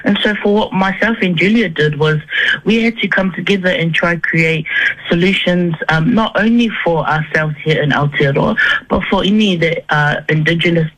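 A woman with a New Zealand accent, a tech founder as a radio interview guest, talking in a lively, playful way and laughing often. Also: on the low side, moderate pace, poor audio.

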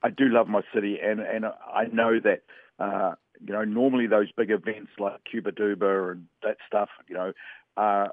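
Low-voiced man on a tinny phone line. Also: steady tone, moderate pace, no echo. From Western Samoa. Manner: rambling, hesitant, many fillers and restarts. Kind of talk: casual sports chat.

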